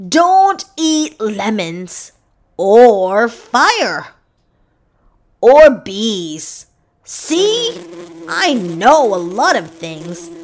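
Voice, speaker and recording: Woman playing a boastful, earnest pet dog, high-pitched, very slow, emphatic, children's storybook. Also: sing-song, heavily acted. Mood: emphatic warning. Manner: emphatic, drawn-out storybook delivery. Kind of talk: children's picture book read-aloud.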